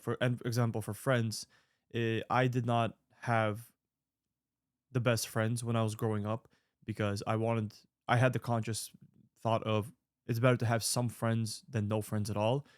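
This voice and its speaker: A man in his late twenties talks straight to his audience, offering sincere life advice. He rambles, restarts and fills gaps as he goes, his voice sitting at an ordinary middle pitch. The sound is dry and close, with no room echo. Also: level tone, a little slow. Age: 20s. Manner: earnest, rambling with fillers and restarts. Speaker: online creator answering viewer mail. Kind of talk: casual advice monologue.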